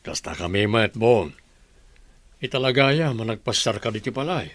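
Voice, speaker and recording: A man with a Filipino accent playing a kindly, concerned middle-aged village father in a radio drama, with a husky voice. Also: on the low side, expressive, moderate pace, a bit bright, no echo. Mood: welcoming. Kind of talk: Ilocano radio drama acting.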